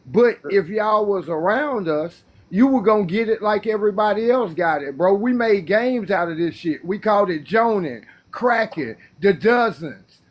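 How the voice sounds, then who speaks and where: A man in his fifties arguing forcefully, lecturing the others in a casual debate. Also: high voice. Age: 50s.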